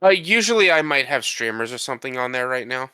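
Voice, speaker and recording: A man's voice: medium pitch, expressive, fast, pushed and bright, clean tone, no room sound, clean studio sound. A man co-hosting a podcast while congested from a cold, rambling and defensive in casual chat. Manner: rambling, defensive, restarts often. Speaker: podcast co-host.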